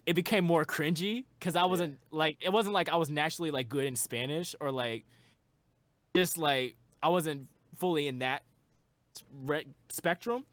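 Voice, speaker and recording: A man's voice: a little high, normal speed, fairly bright tone, no room sound. A young man who makes music, a guest in a casual interview, talking earnestly and rambling with lots of fillers.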